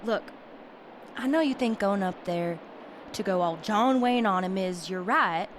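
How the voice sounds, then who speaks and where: An actress playing a protective, plain-spoken rodeo rider's sister with a stern, earnest delivery. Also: female voice, medium pitch, unhurried, slight background noise, lightly acted. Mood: stern, pleading. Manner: blunt, earnest. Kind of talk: radio play acting.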